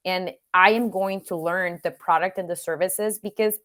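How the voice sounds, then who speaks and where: A woman's voice: lowish voice, fairly even intonation, normal speed, a bit bright. A woman from Mexico whose first language is Spanish, speaking English with a Mexican accent as an interview guest, earnest and reflective with frequent "you know" fillers. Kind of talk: virtual Q&A interview.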